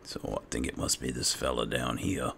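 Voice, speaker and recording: A man's voice: very deep voice, monotone, brisk, vocal fry, a bit bright, a little hiss or hum. A man roleplaying a character in a game playthrough, narrating casually and without hurry. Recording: background music under the voice. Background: game music.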